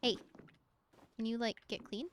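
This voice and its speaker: A woman's voice: on the high side, fairly even intonation, measured pace, slight background noise. A young woman streaming a game from home, chatting casually in a rambling, giggly way and talking to objects in the game. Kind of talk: casual chat while gaming.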